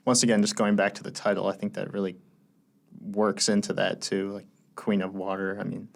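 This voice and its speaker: A man with a very deep, gravelly voice co-hosts a radio interview. He draws out his guest in an affirming, encouraging way. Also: completely flat delivery, brisk. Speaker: radio show co-host.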